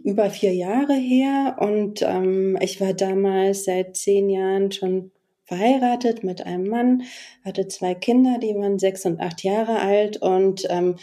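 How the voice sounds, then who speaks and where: A woman's voice: mid-range voice, mellow. A woman in her forties, a podcast guest telling her personal story in a reflective, hesitant way with many fillers. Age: 40s.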